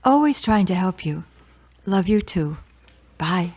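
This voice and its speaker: A woman playing a caring, gently meddling birth mother in a radio drama, with a solicitous, motherly manner. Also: lowish voice, expressive, slow. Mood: affectionate.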